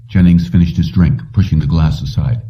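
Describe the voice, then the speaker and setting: A man's voice: sits low, fairly even intonation, fairly quick, far from the mic, lo-fi sound. A man narrating scripted fiction as an audiobook reader.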